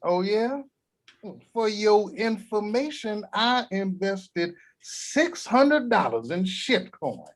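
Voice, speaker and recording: A crude, scheming old grandfather, voiced by a man at a table read. He talks gruff and vulgar, firing off wisecracks in a somewhat raised voice. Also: high voice, sing-song, slow, exaggerated voice.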